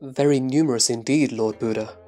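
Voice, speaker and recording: Disciple, male actor: respectful scripture replies, measured, medium pitch, light music bed. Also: mellow, lightly acted. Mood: reverent, assured. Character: Subhuti, reverent male disciple answering his master. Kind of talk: scripted scripture dialogue recitation.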